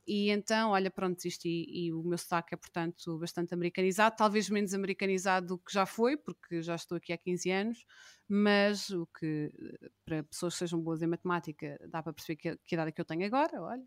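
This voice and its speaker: A woman's voice: on the low side, dry recording, clean studio sound. A woman in her thirties with a Lisbon Portuguese accent, chatting casually and wryly as a podcast guest, with lots of fillers. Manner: wry, self-mocking, fast rambling with fillers. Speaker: guest reporter.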